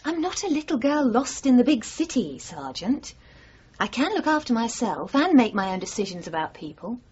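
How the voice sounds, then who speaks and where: A woman's voice: a little high, very animated, moderate pace, a little mellow, husky, heavily acted. An actress in a radio drama playing a self-possessed, independent woman with a composed, questioning and assertive manner. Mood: defiant. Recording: dull, slightly muffled 1980s radio broadcast.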